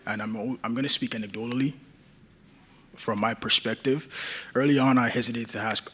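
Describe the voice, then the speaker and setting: Earnest young man, bright voice. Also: on the low side, breathy edge. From Nigeria. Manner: earnest, many restarts and fillers. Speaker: patient advocate speaker. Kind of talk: conference talk.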